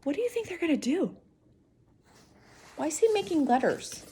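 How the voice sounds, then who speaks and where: A woman teacher giving an expressive read-aloud of a children's story. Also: high voice, normal speed, slight background noise.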